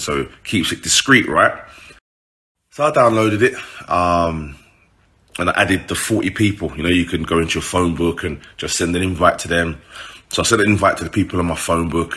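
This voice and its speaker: A man's voice: sits low, bright, forceful tone. A man with a British urban accent telling a story casually to his followers, informal, slangy and sweary.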